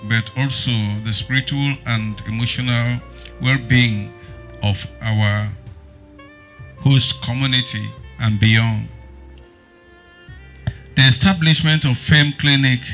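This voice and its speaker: Older Nigerian man, formal read address, forceful bright voice, unhurried, music underneath. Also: medium pitch. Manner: measured, halting phrasing. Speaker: clinic founder and evangelist. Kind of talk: formal speech read aloud. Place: outdoors on a building veranda, into a microphone. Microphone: microphone close to the mouth.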